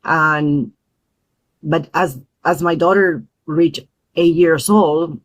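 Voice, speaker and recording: A woman who grew up in Peru, a mother and parent advocate, speaking as a podcast guest in an earnest, thoughtful way with frequent pauses and fillers. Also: low voice, fairly even intonation, low-quality recording. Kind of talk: podcast interview.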